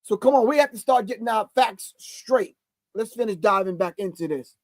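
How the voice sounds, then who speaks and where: A man's voice: sits high, animated, moderate pace, dry recording. A man in his sixties hosting a show, reacting to a video with emphatic, preachy commentary and repeated key phrases. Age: 60s. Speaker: host.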